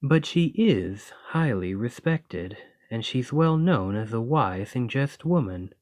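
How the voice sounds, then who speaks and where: A man voicing a calm, gracious male lamia noble merchant in an ASMR roleplay, speaking softly in a measured, formal way. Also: mid-range voice. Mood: curious. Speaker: boss speaking to the listener.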